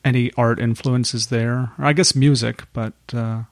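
A man hosting a podcast who introduces his guest and asks relaxed, curious questions. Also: mid-range voice, unhurried, no echo, clean studio sound. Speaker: podcast host. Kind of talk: podcast interview.

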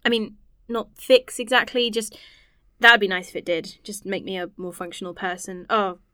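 Actress as self-conscious woman scientist, earnest and rambling, naturalistic audio drama, medium-pitched voice. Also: moderate pace, pushed and bright, clean tone, dry recording, clean studio sound, natural voice. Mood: absorbed, rambling.

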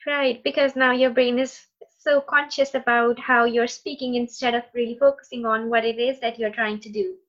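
A woman whose first language is Urdu speaks in a fairly high voice, encouraging and reflective, now and then pausing on fillers. The sound is a little dull and lo-fi. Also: flat delivery, a bit bright. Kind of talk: casual conversation.

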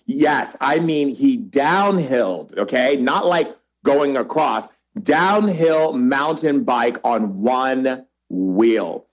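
A man's voice: medium pitch, animated, slow, hardly any pauses. Effusive man hosting a podcast. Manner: effusive, emphatic, repeats himself for emphasis. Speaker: podcast host. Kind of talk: enthusiastic guest introduction and conversational interview.

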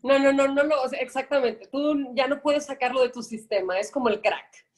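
Thoughtful Mexican actress, higher voice. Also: female voice. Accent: Mexican Spanish. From Guadalajara, Mexico. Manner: thoughtful, hesitant starts, measured. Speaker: actress guest. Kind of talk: casual podcast interview.

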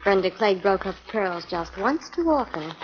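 An actress playing a playful, flirtatious assistant in a 1940s radio drama. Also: female voice, medium pitch, very animated, normal speed, lightly acted. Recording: muffled 1940s radio recording.

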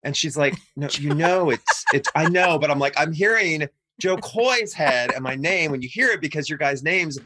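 Lively, bright-voiced man telling stories. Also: on the high side, moderate pace, hardly any pauses. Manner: animated, laughs often, storytelling. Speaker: podcast guest. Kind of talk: casual chat.

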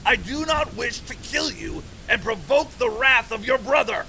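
A man voicing a desperate, weary army commander who pleads and shouts, over background music. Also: very high-pitched, animated, measured pace, slight background noise, voice pushed up, heavily acted, low-quality recording. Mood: pleading.

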